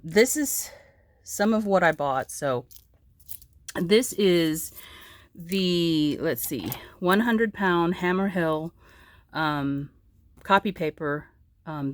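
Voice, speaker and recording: A woman vlogger talks through her crafts in a loose, rambling way, full of ums and restarts. A sore throat leaves her hoarse and pitched quite low. The sound is dry and close. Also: no room sound. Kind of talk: casual chat showing crafts.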